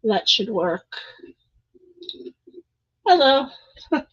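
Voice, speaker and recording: A woman's voice: a little high, sing-song, very slow, lo-fi sound. A woman chatting casually on a live stream while making herbal oil products, rambling with frequent ums, congested from a cold. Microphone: streaming camera mic.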